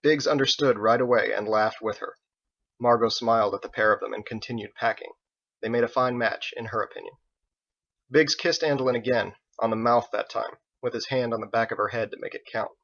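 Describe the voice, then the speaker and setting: A man narrates a fantasy audiobook. His voice sits at a middle pitch and he reads the prose expressively. The recording is slightly dull. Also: brisk, creaky, fairly bright tone. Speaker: author reading own audiobook.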